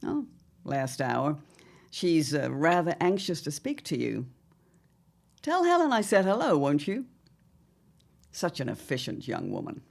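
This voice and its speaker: An actress as a sly, domineering older mother-in-law from an audio drama. Her voice is very low for a woman and swoops widely as she talks down to people. She is pompous and condescending, leaning grandly on her words. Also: big pitch swings, vocal fry, exaggerated voice. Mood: sly needling. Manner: pompous, condescending, drawn-out emphatic phrasing. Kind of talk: theatrical audio drama acting.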